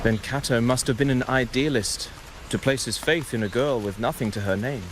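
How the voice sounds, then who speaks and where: An actor playing a humble male storyteller poet in a video game, speaking in a measured, poetic, declamatory way. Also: medium pitch, background noise, natural voice. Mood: solemn. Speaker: game character. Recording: game audio with loud rain. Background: steady rain.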